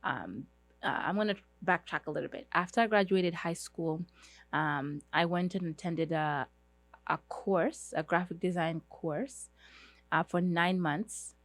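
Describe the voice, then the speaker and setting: A woman's voice: lowish voice, measured pace, no room sound. Earnest, hesitant woman podcast host. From Uganda. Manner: earnest, hesitant, many fillers and restarts. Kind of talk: solo podcast monologue.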